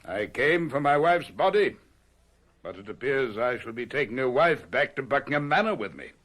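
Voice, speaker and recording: An actor playing an imperious, vengeful aristocratic husband in theatrical radio horror drama. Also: male voice, mid-range voice, lively intonation, normal speed, a bit bright. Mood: cold command. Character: indignant, commanding aristocratic husband, vengeful knight.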